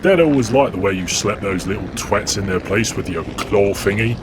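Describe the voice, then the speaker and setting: A man's voice: lowish voice, moderate pace, pitched below the performer's own voice, lightly acted. A man voicing a brash, laddish demigod warrior who banters bluntly and crudely. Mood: mocking. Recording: steady background noise.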